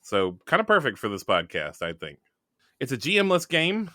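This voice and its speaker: Guy hosting a podcast, lively up and down voice, wry and jokey, really dry close sound. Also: medium pitch, moderate pace, dry recording. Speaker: podcast host. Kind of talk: casual scripted-game podcast introduction and chat.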